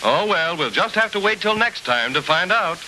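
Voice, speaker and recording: An actor playing a suspenseful storyteller in an old children's radio serial. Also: male voice, high-pitched, lively intonation, normal speed, bright, forceful tone, a little hiss or hum, full character voice, rough recording. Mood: suspenseful, wondering. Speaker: narrator. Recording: old radio soundtrack with music.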